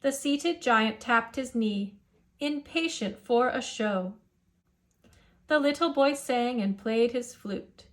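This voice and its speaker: A woman's voice: on the high side, slow pace. A woman narrating a children's picture book aloud with a rhythmic, rhyming delivery. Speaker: storyteller.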